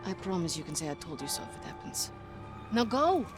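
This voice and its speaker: An actress playing a defiant, wry young Soviet army nurse in a video game cutscene. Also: female voice, lowish voice, big pitch swings, a little fast, over music. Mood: wry, affectionate. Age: young adult. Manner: wry, teasing.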